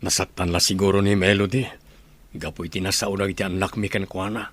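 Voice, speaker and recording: A man playing a gentle, conciliatory village father in a Filipino radio drama. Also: low-pitched, little pitch movement, brisk. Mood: earnest, conciliatory.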